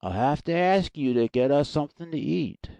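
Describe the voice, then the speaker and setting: Casting: contemptuous army sergeant played by a man, creaky voice with big swings in pitch, curt and commanding, audio drama. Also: expressive, moderate pace, warm tone, no echo. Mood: brisk, commanding. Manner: curt orders, disdainful. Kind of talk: amateur audiobook play acting.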